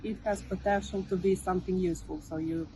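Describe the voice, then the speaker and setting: A woman's voice: on the low side, steady tone, fast talker, mellow, a little hiss or hum, rough recording. A woman from Croatia giving a casual, tentative interview answer.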